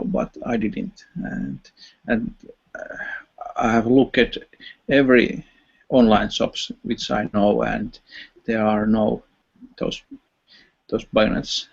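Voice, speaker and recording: A man's voice: on the low side, creaky, husky. A man with a Finnish accent in a casual online voice chat, speaking hesitantly with many fillers and restarts. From Finland. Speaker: chat participant. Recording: dull lo-fi online voice chat audio.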